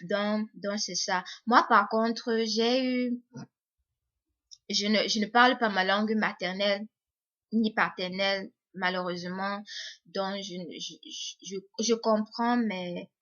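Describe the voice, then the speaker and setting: Young woman, hesitant, repeats words, mid pitch, muffled lo-fi audio. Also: fairly even intonation, slow pace, fairly bright tone. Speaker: vlogger. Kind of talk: casual monologue to viewers.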